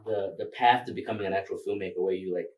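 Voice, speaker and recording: A man's voice: low-pitched, fairly even intonation, quick pace, recorded from a distance, low-quality recording. A man from Rwanda, Kinyarwanda his first language, talking loosely as a filmmaker guest with many fillers and laughs. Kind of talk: casual interview.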